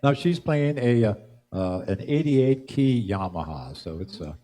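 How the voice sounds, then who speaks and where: A man's voice: mid-range voice, expressive, unhurried, warm tone. An older man hosting a live music show with casual stage banter, often hesitating and repeating his words.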